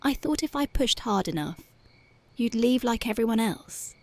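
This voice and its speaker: A woman voicing a tough but devoted young punk girlfriend in an ASMR roleplay, talking softly and intimately close to the mic, often dropping to a whisper. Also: fairly high pitch, animated, normal speed, no echo. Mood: tender, dreamy. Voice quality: soft, intimate, partly whispered. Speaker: voice actress.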